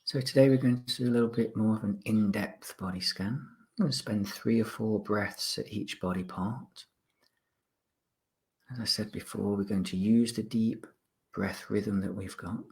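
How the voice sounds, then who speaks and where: A man with a fairly low voice guides a body scan meditation as a mindfulness instructor. He gives polite instructions in short phrases, leaving pauses between them. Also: gravelly.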